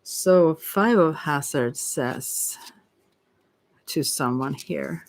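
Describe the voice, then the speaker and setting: Low-voiced woman giving a soft tarot reading. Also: drawn-out words. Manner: soft-spoken, rambling, hesitant, giggly asides. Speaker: tarot reader and ASMR host. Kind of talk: casual ASMR tarot reading.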